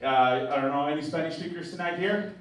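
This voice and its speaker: A man's voice: on the high side, quick pace. A young man with a Mexican accent doing a stand-up set on a theatre stage, chatty and loose, stumbling over restarts and laughing at his own jokes. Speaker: stand-up comedian. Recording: echoey hall, distant mic, noisy.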